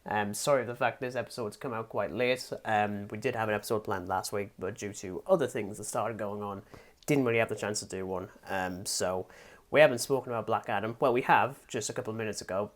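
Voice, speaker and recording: A man hosting a casual movie podcast, rambling and joking with frequent laughs. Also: fairly low pitch. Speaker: podcast host. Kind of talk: casual chat.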